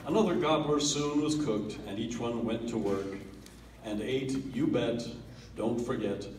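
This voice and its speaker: A man giving a dramatic reading of a comic poem at a family gathering, theatrical, with swells and hushed drops. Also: mid-range voice, a little slow, slight background noise. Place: family holiday gathering in a room. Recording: roomy, distant home video.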